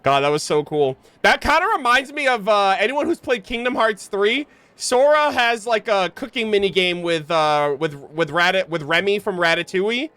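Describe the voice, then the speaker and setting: Animated, bright-voiced man streaming a game. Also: high voice, normal speed, hardly any pauses, clear, resonant tone, no echo. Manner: slangy, swears freely, excitable. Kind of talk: casual live gameplay commentary, reading game text aloud.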